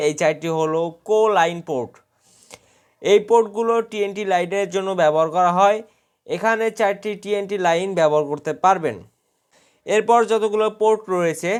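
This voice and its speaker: A man's voice: sits high, smooth. A man narrating a scripted how-to tutorial, explaining step by step. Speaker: tutorial host.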